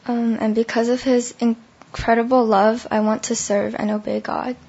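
Hoarse young woman, flat gravelly voice. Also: on the high side, normal speed, highs cut off. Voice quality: gravelly, husky. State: hoarse, lost voice. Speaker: baptism candidate giving testimony. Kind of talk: church testimony in short pauses for translation. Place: church.

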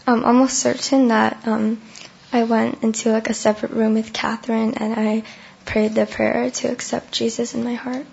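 A young adult woman with a hoarse, worn voice, giving her testimony at church in short chunks. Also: on the high side, flat delivery, normal speed, darkish tone, highs cut off. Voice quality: gravelly, husky. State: hoarse, lost voice. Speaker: baptism candidate giving testimony.

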